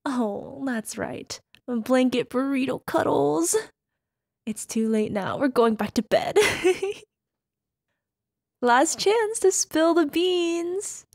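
Slow, creaky-voiced teasing wife, acted by a woman. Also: high voice, dry recording, studio quality, exaggerated voice. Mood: playfully smug, affectionate.

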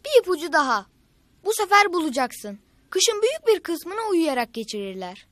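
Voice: very high voice, big pitch swings, normal speed, heavy background noise. A performer voicing a kind schoolboy older brother in a children's cartoon, patiently explaining and encouraging.

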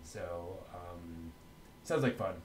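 A middle-aged man co-hosting a news show in a casual, chatty way with a halting delivery. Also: low voice, a little hiss or hum. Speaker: co-host of an anime news show.

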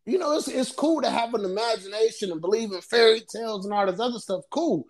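A man's voice: high-pitched, fast. Laid-back man chatting on a podcast. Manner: laughs often, loose and talkative. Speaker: podcast co-host. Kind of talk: casual podcast chat.

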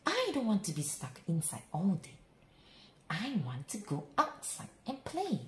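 Female storyteller for kids' picture books, unhurried and hugely expressive, dramatic phrasing, recorded in an echoey room with faint noise. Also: medium pitch. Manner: dramatic, deliberate phrasing with drawn-out words. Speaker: picture book reader. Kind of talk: expressive children's bedtime story read-aloud. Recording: roomy echo, faint background noise.

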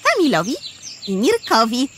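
Teasing, encouraging schoolgirl for kids' audio play, female actor, high and very animated. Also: moderate pace, background noise. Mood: friendly, eager. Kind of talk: children's audio drama acting.

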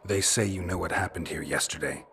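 An actor playing a stoic, weary male monster hunter in a video game, speaking in terse, flat, demanding lines. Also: sits low, a little fast, a little hiss or hum.

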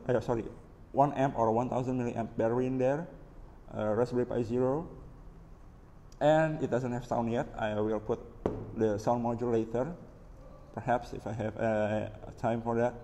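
An Indonesian-accented man with a dark, mellow tone talks casually through a hands-on overview. He sounds unscripted, like a hobbyist presenting a build. The room adds a long reverb, with some faint noise behind him. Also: mid-range voice. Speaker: maker presenting his DIY electronics build. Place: indoors at a wooden table, hands-only camera view.

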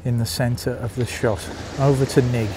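A man's voice: mid-range voice, little pitch movement, normal speed, noisy background. Chatty man with a Birmingham accent. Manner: chatty, addresses viewers as folks. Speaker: vlogger. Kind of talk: walking tour narration. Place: outdoors, walking a small seaside town.

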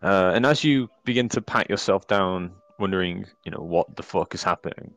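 Low-voiced man narrating a horror game. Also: moderate pace, fairly bright tone, dry recording. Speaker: game master.

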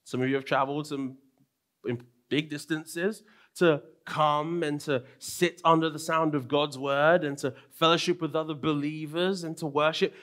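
A young man from London preaching at a church conference, his voice a touch higher than most men's. He delivers from a pulpit mic, dropping to quiet asides and then rising into emphatic, lively peaks. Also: moderate pace. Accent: London English. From London, England. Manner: animated, swings between soft asides and raised emphatic lines. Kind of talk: sermon. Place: church stage with purple lighting.

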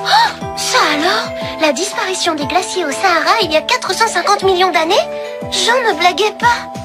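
A woman voicing an excitable, bossy schoolgirl in a children's cartoon, with a dramatic, expressive delivery. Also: very high-pitched, normal speed, over loud music. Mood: curious. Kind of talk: French animated children's series dubbing.

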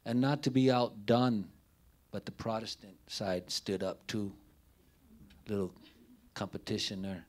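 A middle-aged man speaks in a fairly low voice at a very slow pace. He is giving an unscripted talk at a community forum, telling personal stories into a handheld microphone. His delivery is deliberate and halting, laid out in short phrases with pauses between them. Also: steady tone. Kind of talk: unscripted public speech, personal storytelling. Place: indoor forum room, before a banner. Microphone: handheld microphone held near the mouth.

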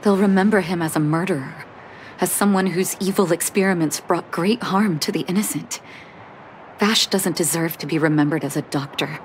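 An actress playing a gentle, sorrowful woman doctor in a video game, soft and breathy at times, measured and reflective, with music behind her. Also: lowish voice, slight background noise, lightly acted. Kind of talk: scripted game dialogue.

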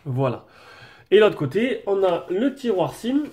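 A man's voice: high-pitched, sing-song, unhurried, a little mellow, breathy edge. A man with a French accent and a head cold talking through his nose, chatting casually and hesitantly as he reviews a phone at his desk. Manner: chatty, hesitant, many fillers and restarts. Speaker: tech reviewer. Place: desk setup with a branded backdrop, indoors.

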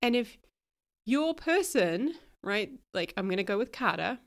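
A woman's voice: mid-range voice, sing-song, measured pace. A woman with a New Zealand accent talks straight into a podcast mic in a frank, chatty way. Her voice is still a bit nasal from a cold. She swears now and then and laughs easily. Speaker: podcast host. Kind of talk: casual podcast monologue.

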